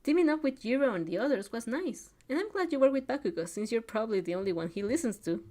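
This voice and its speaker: A woman's voice: a little high, animated, quick pace, a little mellow. A woman reading a story aloud, voicing a bubbly, fiercely supportive teenage girl in an exuberant, emphatic, teasing way. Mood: sincere. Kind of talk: character dialogue in amateur story reading.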